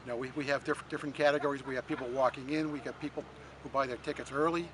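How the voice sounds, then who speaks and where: A man answering casually as an event volunteer in an outdoor interview, easygoing and halting as he explains things. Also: medium pitch, steady tone. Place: outdoors at a radio swap meet. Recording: noisy outdoor vehicle ambience.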